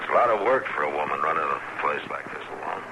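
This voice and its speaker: Low voiced calm american western lawman, few words, very noisy old radio show. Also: quick pace, highs cut off. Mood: steady, measured. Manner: calm, laconic, probing. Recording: very noisy vintage radio recording.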